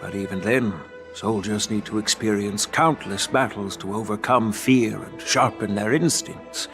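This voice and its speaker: An actor playing a wise, dignified old master swordsmith in a video game, talking in a measured, courteous, reflective way. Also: male voice, on the low side, music in the background. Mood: wistful, solemn. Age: older. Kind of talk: dramatic video game voice acting.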